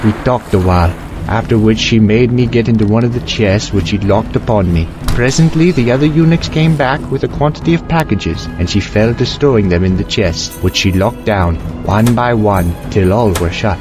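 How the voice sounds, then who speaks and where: A man's voice: on the low side, moderate pace, music in the background. A man narrating an audio drama in the first person as a young merchant, with theatrical, archaic storybook diction.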